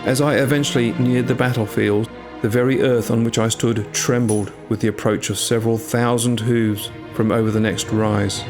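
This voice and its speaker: A man reading a scripted first-person tale in a measured, literary storytelling manner. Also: medium pitch, fairly even intonation. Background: music.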